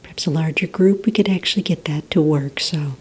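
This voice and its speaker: A woman whispering breathily in a soft-spoken ASMR roleplay as a polite, encouraging receptionist, asking questions in a gentle, hesitant, unhurried way. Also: low-pitched, breathy edge. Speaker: ASMR roleplay performer as a villain recruitment receptionist.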